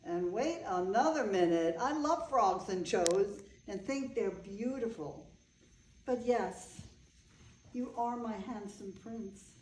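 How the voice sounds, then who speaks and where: A woman's voice: mid-range voice, animated, takes it slowly, a little mellow, a little hiss or hum. A woman playing an eccentric, affectionate older bride in a staged reading, with an earnest, rambling way of telling things. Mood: chatty, playful. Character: Eve, eccentric older bride, affectionate, chatty animal lover. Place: indoor room, staged reading. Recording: echoey room.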